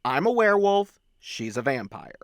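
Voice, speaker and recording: A man's voice: medium pitch, sing-song, normal speed, no room sound, clean studio sound. A man playing an awkward, chatty young werewolf in a casual audio roleplay, rambling and blurting like a cheeky little brother. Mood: defiant, teasing.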